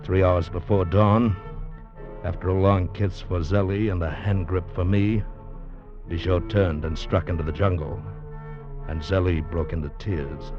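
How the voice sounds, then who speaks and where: A man playing a grave convict narrator in a tropical prison colony, telling his story in measured, dramatic 1940s radio style. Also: deep voice, fairly even intonation. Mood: grave, suspenseful. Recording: dull vintage radio recording with music behind.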